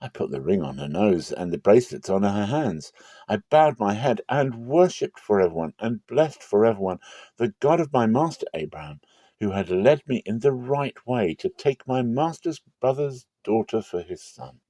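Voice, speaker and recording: Man reading as an earnest, devout servant, low-voiced. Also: big pitch swings, moderate pace, warm tone, no room sound. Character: Abraham's earnest, devout male servant recounting his errand.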